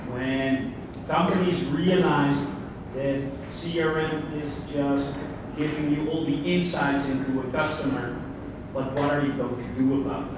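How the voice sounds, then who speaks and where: A man from the Netherlands with a Dutch accent giving a conference talk, speaking conversationally and putting questions to the audience. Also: medium pitch. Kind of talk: conference keynote presentation. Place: large conference hall. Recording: very echoey hall, distant mic, background noise.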